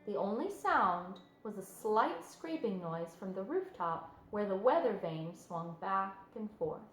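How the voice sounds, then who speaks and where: A woman reading a children's story aloud as an expressive narrator. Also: mid-range voice, a little slow, reverberant, faint music behind, poor audio. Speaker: storybook reader.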